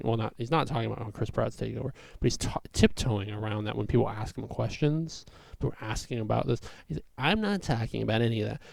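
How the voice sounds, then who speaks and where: Young male comedian, raspy, rambling chatty vlog delivery, dry room. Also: medium pitch, a little mellow, no room sound. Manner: rambling, full of fillers and restarts.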